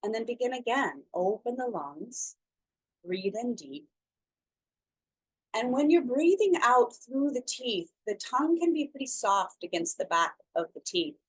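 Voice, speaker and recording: A woman instructor leading a slow guided breathing meditation, speaking softly and soothingly with long pauses between phrases. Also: a little high, sing-song, husky, low-quality recording.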